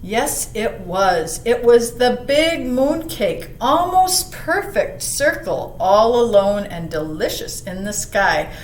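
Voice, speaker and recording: A woman reading a picture book aloud to children, expressive, putting questions to her young listeners and dropping into hushed asides. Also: a little high, slow pace, hardly any pauses. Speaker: children's library storyteller. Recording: roomy echo, some background noise.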